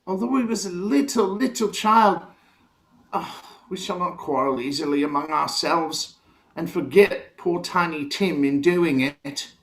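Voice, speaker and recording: A man plays a gentle father in a radio drama, grieving and tender. His voice is high for a man, and he speaks earnestly to his family. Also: unhurried. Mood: tender grief. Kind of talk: theatrical radio play acting.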